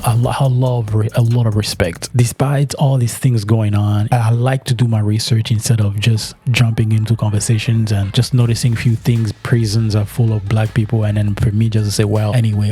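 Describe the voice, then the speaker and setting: A man from Africa whose first language is French speaks with a French accent, hosting his own channel in a long, earnest, rambling monologue. His voice sits low and sounds mellow and soft-edged. He keeps restarting his sentences as he talks, and faint music plays behind him. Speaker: channel host. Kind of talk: reaction commentary monologue.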